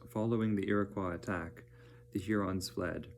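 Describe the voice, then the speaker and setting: A man reading educational narration aloud as a teacher, in a measured, plain-spoken manner. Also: sits low, fairly even intonation, slight background noise.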